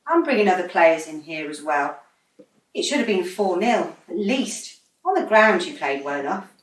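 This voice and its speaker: A woman's voice: lowish voice, very animated, moderate pace. A woman acting a blunt, exasperated female football manager in a radio play, scolding and emphatic. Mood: stern, exasperated. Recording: roomy, distant microphone.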